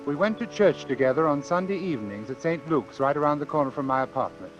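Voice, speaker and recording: An actor playing a coolly confident, obsessive doctor in a vintage radio suspense drama, speaking formally and precisely. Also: male voice, a little high, animated, fast, lo-fi sound, faint music behind, close to an everyday voice. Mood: measured, recounting. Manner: formal, precise, self-assured. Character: coolly confident, obsessive male doctor running a deadly experiment. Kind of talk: old-time radio suspense drama acting and narration.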